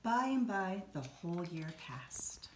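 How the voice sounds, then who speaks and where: A woman with a slightly low voice narrates a children's storybook. She reads deliberately and expressively, as if to young listeners. The room is echoey, with a little background noise. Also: sing-song. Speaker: teacher reading a storybook aloud. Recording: roomy echo, some background noise.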